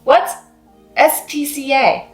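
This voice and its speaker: A woman's voice: high voice, little pitch movement, slow pace, far from the mic, soft background music, pitched above the performer's own voice. A woman voicing a stubborn, devoted female puppy owner in a storybook, speaking in short, blunt, earnest phrases. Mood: earnest, insistent. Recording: roomy, echoing sound.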